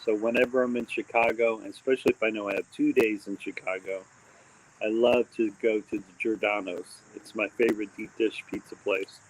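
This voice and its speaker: A man's voice: fairly low pitch, fairly even intonation, fairly quick, a little hiss or hum, poor audio. Midwestern-raised man in casual livestream chat, meandering, filler-heavy delivery, crickets in the background. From born in Texas, raised mostly in Indiana. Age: adult with a daughter. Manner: rambling, many fillers and restarts. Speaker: host of a live stream.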